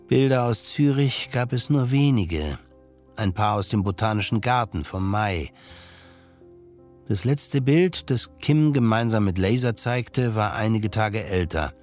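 A man with a fairly low voice narrates an audio drama in scripted literary prose. Faint music runs behind him. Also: a little mellow.

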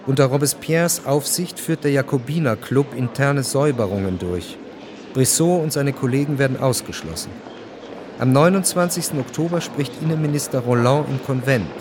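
A male narrator reads literary radio drama in a measured, exact manner. His voice sits at a middle pitch and stays dry in tone, with clear background noise beneath it.

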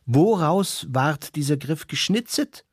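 An actor playing a wry, learned seafaring storyteller, lecturing the listener in a literary radio drama. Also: male voice, fairly high pitch, lively intonation, slow, slightly rough, no room sound, studio quality, exaggerated voice. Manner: mock-scholarly, addresses listeners, rhetorical.

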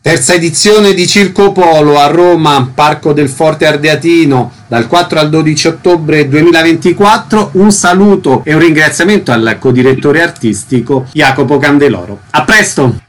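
A man with a fairly high voice hosts a radio interview. He puts questions to his guests and gives announcements. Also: moderate pace, hardly any pauses.

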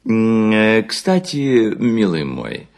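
A man's voice: on the low side, sing-song, drawn-out words, vocal fry, a little mellow, pitched above the performer's own voice, exaggerated voice. An actor playing a worn-out balding seducer, suavely lecturing on his sly craft in a theatrical literary monologue, savoring words and humming between them. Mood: effusive flattery.

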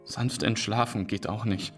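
A grieving widower speaks in a low voice, terse and numb. It is interview-style radio drama narration with faint music. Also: male voice, steady tone, a little slow. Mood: numb, bitter. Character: numb, grieving male widower recalling the arrangements.

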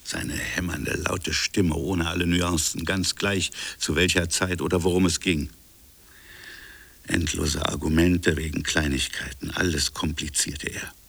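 A reflective colonial official, speaks in a very low, creaky voice with a husky grain. He tells his story as an intimate radio-drama monologue, in short musing fragments broken by pauses. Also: little pitch movement, a bit bright, no room sound, heavily acted. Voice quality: gravelly, husky. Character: reflective French colonial official recounting a buried affair. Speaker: narrator and protagonist.

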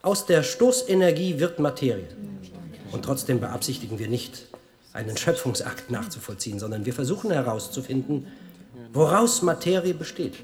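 An actor playing a male science tour guide in a radio play, speaking in a formal, measured, didactic way. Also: mid-range voice, very animated, lightly acted.

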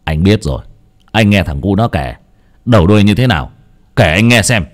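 A man voicing a gruff older village exorcist who talks low, curt and commanding. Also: expressive, moderate pace. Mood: stern.

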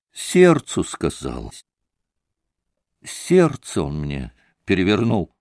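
A man's voice: mid-range voice, darkish tone, no echo, studio quality, full character voice. A man reading an audiobook, voicing a hysterical young woman who swings between fury and tears. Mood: tearful.

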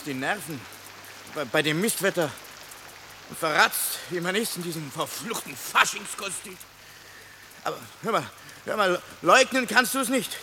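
A worn-out soldier on the run in a radio play, with a highish, sharp, bright voice. He grumbles haltingly, doubling back on his words, over a noisy backdrop of rain. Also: animated, full character voice. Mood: hesitant, aggrieved. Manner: halting, stammering, repeating words. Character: weary grumbling male fugitive soldier, hesitant, needling.

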